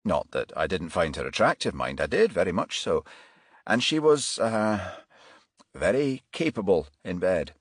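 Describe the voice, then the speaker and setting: An unruffled, self-assured businessman in middle age, voiced by a male narrator. His delivery is matter-of-fact yet varied in pitch, captured close and dry for an audiobook. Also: very animated, unhurried, husky, dry recording, heavily acted. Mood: candid.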